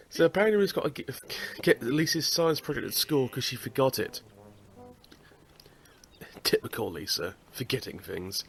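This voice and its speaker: A man's voice: mid-range voice, sing-song, unhurried, vocal fry, long pauses. Chatty man with a stuffy cold. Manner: chatty, swears, laughs at the game. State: congested with a cold. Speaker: gaming channel host. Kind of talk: casual let's-play commentary.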